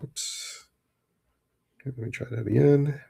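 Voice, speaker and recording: A man hosting a livestream card break, chatting casually, a little hoarse and nasal from a cold. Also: medium pitch, a little slow, faint music behind. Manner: relaxed, chatty, many fillers.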